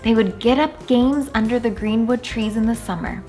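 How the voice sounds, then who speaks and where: A woman reading a children's storybook aloud in an animated, deliberate storytelling manner, drawing out words for emphasis. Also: on the high side, soft background music. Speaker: children's story reader and channel host.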